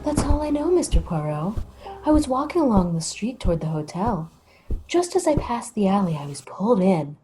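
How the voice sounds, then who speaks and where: A woman's voice: medium pitch, big pitch swings, moderate pace, mellow, soft background music, lightly acted, low-quality recording. A woman playing a distressed young victim in a mystery drama, earnest and emotional. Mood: shaken. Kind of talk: radio mystery play acting.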